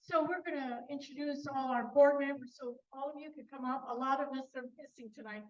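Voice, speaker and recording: A woman vice president of a neighborhood association introducing board members at a meeting, brisk and cheerful. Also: sits high, dull audio. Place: indoor community hall.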